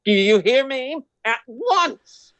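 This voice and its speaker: A man's voice: very high-pitched, sing-song, slow, bright, forceful tone, no echo, dull audio, heavily acted. A man playing a domineering, indignant rich old man in a radio detective play, barking orders imperiously. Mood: furious, demanding. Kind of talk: old-time radio detective drama acting.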